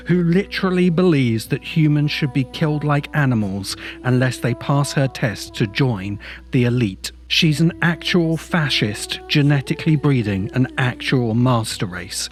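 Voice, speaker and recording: A man hosts a scripted video essay in a fairly high voice with a middling, natural tone. His delivery is measured and emphatic, and music plays behind him. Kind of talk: scripted narration. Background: background music.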